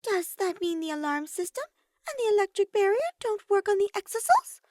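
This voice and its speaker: Woman doing a nosy teen girl in a story, way high voice, always questioning. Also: very animated, normal speed, darkish tone, no room sound, studio quality. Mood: curious, probing.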